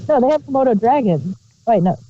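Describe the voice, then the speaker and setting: A woman's voice: a little high, animated, a little fast, a crowd in the background. A woman chatting casually as co-host of a review show. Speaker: podcast co-host reviewing audio dramas. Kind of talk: casual chat. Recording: dull lo-fi voice recording.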